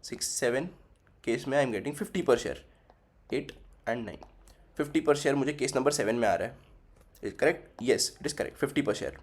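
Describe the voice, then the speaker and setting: A man's voice: mid-range voice, lively intonation, a little slow, long pauses, slightly rough. A young man teaching a finance class, working through the numbers aloud and repeating figures as he goes, switching between Hindi and English. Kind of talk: recorded finance lesson.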